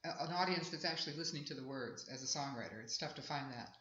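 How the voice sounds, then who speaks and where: Chatty woman in her sixties, bright voice. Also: low voice, fast. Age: 60s. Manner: chatty, warm and reflective, rambling. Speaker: singer-songwriter guest. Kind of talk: casual interview at an outdoor festival. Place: festival grounds. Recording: echoey, dull live field recording.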